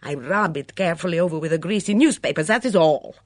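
A woman's voice: on the low side, quick pace, dry recording, studio quality, pitched above the performer's own voice, lightly acted. A woman voicing an indignant, dramatic foreign cook who speaks broken English with emphatic repetition in an audiobook story. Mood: outraged, possessive.

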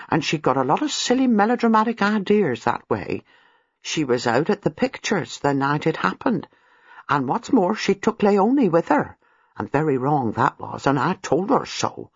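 A man's voice: on the high side, very animated, normal speed, husky, no echo, highs cut off, full character voice. A man voicing a nervous, apologetic older female former servant, hesitant and deferential in an audiobook, with rambling rustic turns of phrase. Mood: apologetic, defensive. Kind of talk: audiobook character dialogue.

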